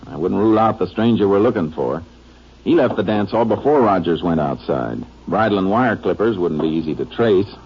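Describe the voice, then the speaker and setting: An American actor playing a steady, businesslike Texas Ranger in an old radio drama. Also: male voice, low voice, fairly quick. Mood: probing, brisk. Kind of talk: 1950s radio drama acting and narration. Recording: noisy, muffled old-time radio recording.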